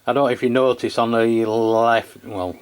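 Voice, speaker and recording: A man's voice: medium pitch, steady tone, normal speed, clear, resonant tone. A man with an English accent chatting casually and informally as a radio hobbyist, in a matter-of-fact way. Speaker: CB radio hobbyist filming a radio test. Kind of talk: casual two-way radio chat.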